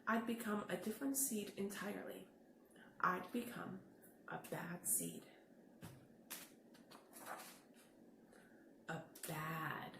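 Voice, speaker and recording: A woman's voice: fairly low pitch, fairly bright tone. A woman voicing a glum, misunderstood storybook bad seed trying to be good, with theatrical expression. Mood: brooding, hushed. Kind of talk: children's picture book read-aloud. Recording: echoey room with faint noise.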